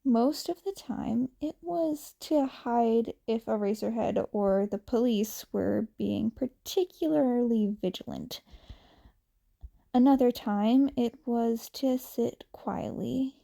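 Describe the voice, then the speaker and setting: A woman's voice: on the high side, takes it slowly, gravelly, mellow. A woman narrating a fan fiction story as an audiobook reader. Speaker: podfic narrator.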